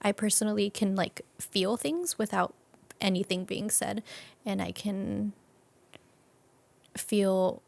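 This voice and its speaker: A woman's voice: mid-range voice, takes it slowly, gravelly, long pauses, darkish tone. A woman speaking as an interview guest in a casual, reflective conversation, explaining informally.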